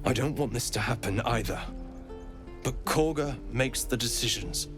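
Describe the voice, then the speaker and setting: A man's voice: medium pitch, normal speed, music in the background, lightly acted. Regretful grove druid, polite, mid voice, male actor.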